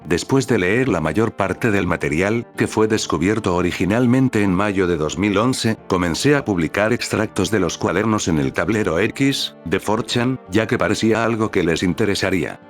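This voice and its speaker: A man with a very low, deep voice tells an eerie story in a calm, measured way. Soft music plays quietly behind him. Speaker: narrator. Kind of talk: scripted narration of a creepy story.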